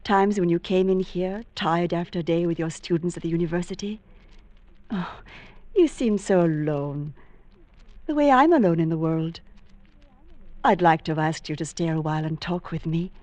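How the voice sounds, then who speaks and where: Woman playing coy lonely bookshop spinster, lowish voice, dry close sound, sweetly gushing, vintage radio drama. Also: normal speed, long pauses, a little mellow, no room sound, natural voice. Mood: wistful, confiding. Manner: breathless gushing, coy, polite. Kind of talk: old-time radio drama acting.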